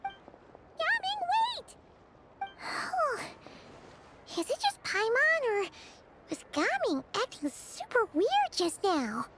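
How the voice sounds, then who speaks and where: A woman voicing a tiny, childlike, excitable fairy companion in a video game, with exclamatory delivery. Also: extremely high pitch, very slow, pauses often, heavy background noise, exaggerated voice. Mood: alarmed. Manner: speaks of self in third person, exclamatory. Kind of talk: cartoonish video game voice acting. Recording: loud music under the voice.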